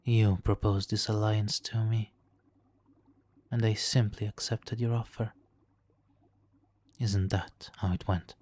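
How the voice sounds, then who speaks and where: A man's voice: fairly low pitch, dark tone. A man playing a suave, manipulative supervillain in an ASMR roleplay, silky and theatrically polite, smugly doting and sometimes slipping into whispers. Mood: smug, coaxing. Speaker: audio roleplay performer. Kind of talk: ASMR roleplay, hypnotic countdown.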